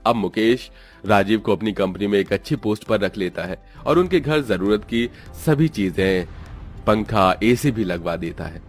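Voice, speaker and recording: Low-voiced male storyteller over music. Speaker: storyteller narrator. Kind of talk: scripted story narration. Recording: music under the voice. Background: background music.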